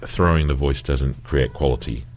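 A man's voice: extremely low pitch, moderate pace, darkish tone, soft background music. A man who is a singer, talking reflectively in an interview and laughing easily. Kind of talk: conversational interview.

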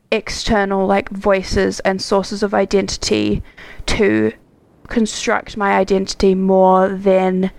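A woman's voice: medium pitch, vocal fry. A young woman co-hosting a podcast, talking in a chatty, reflective way with plenty of "like" fillers. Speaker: podcast co-host. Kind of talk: casual chat.

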